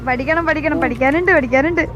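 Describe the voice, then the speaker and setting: A woman's voice: high-pitched, rapid-fire, highs cut off. A woman with a Malayali accent chatting casually in a role-play game, repeating her words. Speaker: online role-play gamer. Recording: loud chatter of others behind.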